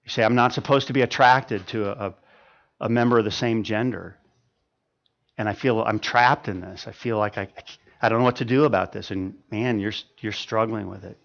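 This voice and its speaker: A man in his fifties preaching a sermon, swinging from loud emphatic stretches to quiet ones, with frequent stammered restarts. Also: on the low side, steady tone, a little fast, creaky, highs cut off. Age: 50s. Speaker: pastor.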